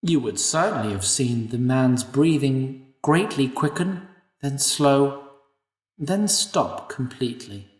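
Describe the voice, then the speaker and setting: A man narrating an audio drama in an expressive storytelling manner. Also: medium pitch, slow. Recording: echoey room, distant mic.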